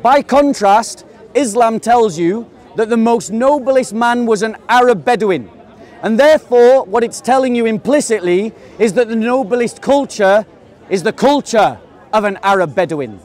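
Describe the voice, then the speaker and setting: High-voiced man debating in the open air. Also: lively intonation, darkish tone, a faint crowd. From England. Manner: insistent, rapid-fire questioning. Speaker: street debater. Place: outdoor public park.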